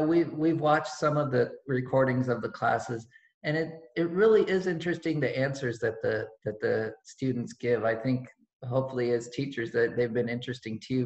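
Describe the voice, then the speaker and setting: A middle-aged man hosts a video call from his living room, friendly and prone to restarting his phrases. His voice sits at a middle pitch and rings in a roomy space through a dull, lo-fi laptop mic. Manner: friendly, restarts words often. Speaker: host of volunteer meeting. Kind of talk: casual video call hosting.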